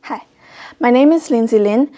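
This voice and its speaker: High-voiced teenage girl from China. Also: moderate pace, mellow. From China, later Australia. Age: teens, high school student. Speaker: interviewee, student author. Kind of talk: interview answers about own project.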